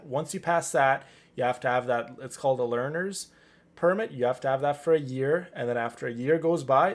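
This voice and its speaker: A man from Canada chatting casually as a streamer, joking and rambling over gameplay. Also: mid-range voice.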